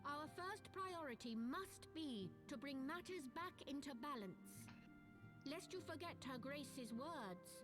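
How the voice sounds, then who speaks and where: A woman's voice: high voice, expressive, unhurried, over music. A woman playing a composed, shrewd noblewoman in a fantasy game, speaking in formal, archaic phrases. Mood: resolute, commanding. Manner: formal, eloquent, archaic speech. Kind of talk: scripted game cutscene acting.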